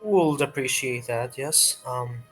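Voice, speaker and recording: Man playing a slow, weary guard commander. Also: medium pitch, a bit bright. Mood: patient, stern. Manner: halting, measured, formal. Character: weary, restrained male guard commander, patient and stern. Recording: music playing behind.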